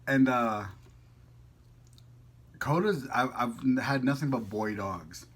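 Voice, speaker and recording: A man's voice: medium pitch, slow pace, breathy edge. A man chatting casually as a vlog host, playful and slangy, rambling as he goes. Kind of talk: casual chat to camera.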